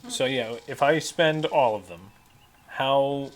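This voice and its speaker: A man with a voice of middling pitch plays a tabletop role-playing game. His talk is casual, as a player working out his moves at the table. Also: expressive, slow pace.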